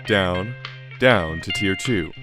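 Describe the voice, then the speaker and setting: A man playing a measured, philosophical narrator with a deliberate, rhetorical delivery in a radio play. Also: sits low, over loud music. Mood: measured, intriguing. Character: measured philosophical narrator guiding down through a mind. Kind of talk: scripted radio drama narration.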